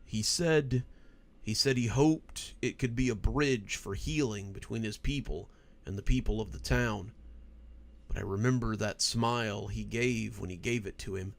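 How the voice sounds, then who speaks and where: A man's voice: lowish voice, a little slow, vocal fry. A man voicing a suspicious, bitter male character in a horror story, insistent and foreboding. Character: suspicious, bitter man recalling an ominous gift.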